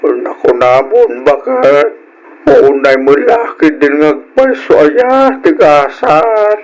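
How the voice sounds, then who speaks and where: An actor playing a sympathetic older man in an old Ilocano radio drama, with a drawn-out, lamenting, exclamatory way of talking. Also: on the high side, very animated, rough recording.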